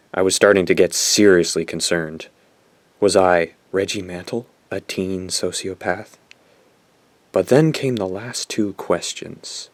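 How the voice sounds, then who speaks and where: A man's voice: low-pitched, no room sound. An actor playing a smug, self-absorbed teenage boy in an audio drama, narrating his confession in a pompous, dramatic way. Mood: self-dramatizing. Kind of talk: audio drama acting, first-person narration.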